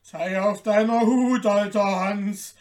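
Man playing a slow, booming storybook giant. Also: fairly even intonation, a little mellow. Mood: grumbling, alarmed. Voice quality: booming, grumbling. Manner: slow, drawn-out, emphatic delivery. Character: huge, gruff, gullible, fearful old giant.

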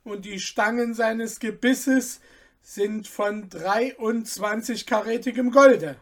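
A shrewd merchant speaks in a raised, measured voice, as a storyteller puts him on, laying out every detail with quiet mockery. He is unhurried and sly. The storybook reading moves slowly. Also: mid-range voice, voice pushed up. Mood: boastful. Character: sly shrewd middle-aged male merchant.

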